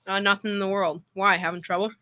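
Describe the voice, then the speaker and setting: A woman's voice: mid-range voice, level tone, fast talker, pushed and bright, no echo, natural voice. A female performer playing a cocky, boastful tough-guy boyfriend, slangy and swaggering, in old radio comedy style. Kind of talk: 1940s radio comedy acting.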